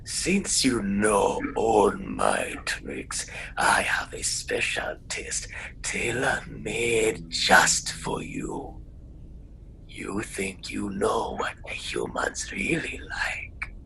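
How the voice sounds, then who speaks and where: A man voicing a sly, mocking trickster spirit in a radio play, often in a breathy, gravelly whisper. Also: lowish voice, sing-song, sharp tone, heavily acted, low-quality recording. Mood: sly, taunting. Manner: slow, taunting, theatrical.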